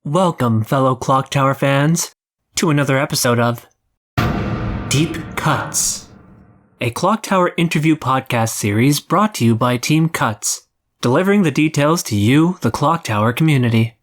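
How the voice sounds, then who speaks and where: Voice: medium pitch, lively intonation, moderate pace. A podcast host reading out scripted welcomes and interview questions.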